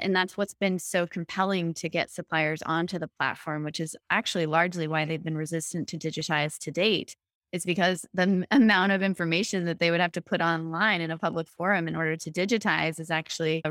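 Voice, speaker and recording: A woman founder being interviewed, explaining her company's platform in a fluent, steady pitch. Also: smooth, no echo, studio quality. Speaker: startup founder. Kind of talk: business interview.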